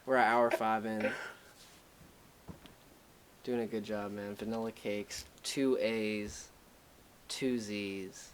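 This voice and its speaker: A man's voice: fairly low pitch, fairly even intonation, slow, pauses often, a little hiss or hum. A young man co-hosting a casual podcast chat, loose and slangy, swearing freely. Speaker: podcast co-host.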